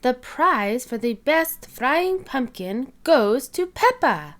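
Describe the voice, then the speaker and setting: A woman's voice: high voice, big pitch swings, takes it slowly, a bit bright, exaggerated voice. A woman voicing a kindly gazelle schoolteacher in a children's storybook, announcing things in an encouraging sing-song. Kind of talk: children's storybook read-aloud.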